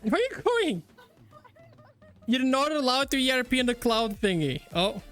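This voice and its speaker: A man with a high voice hosts a live stream, teasing his friends and laughing between lines. Faint music plays under his chat. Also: sing-song, normal speed, a bit bright, clean tone. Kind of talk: casual chat while playing a game.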